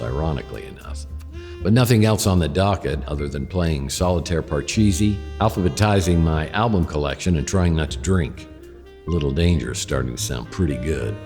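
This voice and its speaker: With music underneath and a long reverb, a hardboiled male private eye with a very deep voice. He narrates his case in a deadpan, sardonic way, worn down but wry, in classic radio noir style.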